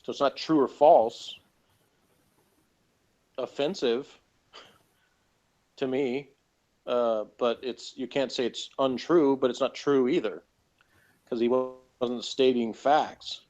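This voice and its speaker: A man with a medium-pitched voice talks casually, as in a review discussion. He argues in a halting way, often rewording himself. The recording is dull and lo-fi. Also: fairly even intonation, a little mellow. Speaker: podcast co-host reviewing TV episodes. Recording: dull lo-fi audio.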